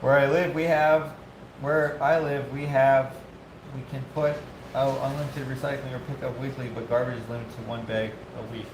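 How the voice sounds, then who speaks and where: A man's voice: medium pitch, flat delivery, moderate pace. Male streamer in a noisy, echoey shop; casual, rambling, self-correcting. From Canada. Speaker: host of a live build stream. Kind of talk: casual chat while working. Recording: echoey workshop with loud background noise.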